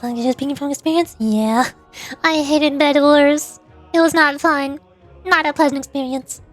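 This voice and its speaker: High-voiced woman chatting casually on stream. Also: steady tone, moderate pace, faint music behind. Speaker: VTuber livestreamer. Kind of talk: casual livestream chat answering viewers.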